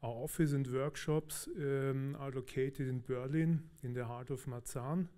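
Austrian-born man, mellow conference presenter, relaxed with fillers. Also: mid-range voice, a little slow. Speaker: conference speaker. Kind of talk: technical conference talk. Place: large conference hall.

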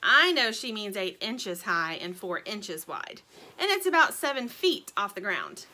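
A woman voicing a calm, helpful young female chick, patient and matter-of-fact, in a children's story. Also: mid-range voice, a little slow.

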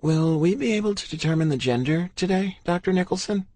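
A man voicing a young pregnant woman who speaks softly and emotionally. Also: sits high, expressive, fairly quick, voice pushed up. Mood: anxious. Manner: soft, teary.

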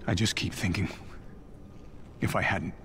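An actor playing an earnest, weary superhero leader in a video game, restrained and sincere. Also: male voice, sits low, measured pace, background noise. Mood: troubled. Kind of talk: video game cutscene acting.

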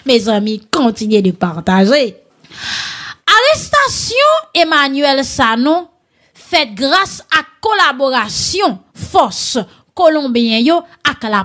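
A woman with a Haitian accent presents conversational news commentary online. Her voice sits high and swings widely in pitch, while the pace stays slow. She talks to listeners familiarly, in an animated, bantering manner.

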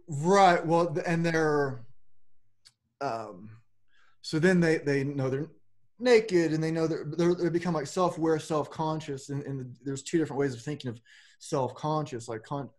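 A man's voice: fairly high pitch, takes it slowly. A man in his forties talking loosely and rambling a little, with casual swearing, as a podcast host on a video call from home. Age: 40s. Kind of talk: casual philosophical conversation. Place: home room with a plain backdrop. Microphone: webcam on a video call.

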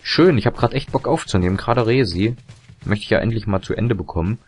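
A young man commentating a video game, chatty and rambling with fillers and self-corrections, his voice a little hoarse and tired. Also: lowish voice, lively intonation, fast talker, a little mellow, slight background noise. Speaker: let's play commentator. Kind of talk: casual gameplay commentary.

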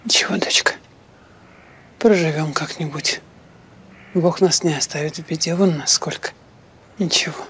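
An older actress playing a kind, steady mother who talks in a comforting, matter-of-fact way. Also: low-pitched, normal speed, pauses often, a little hiss or hum.

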